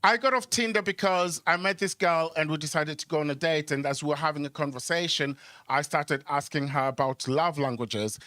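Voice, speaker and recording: A man's voice: a little high, steady tone, fairly quick, sharp tone, dry recording, studio quality. A man from Kenya doing conversational stand-up on a club stage microphone, hesitating and repeating words as he goes. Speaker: stand-up comedian. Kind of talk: live stand-up comedy, conversational storytelling with crowd work.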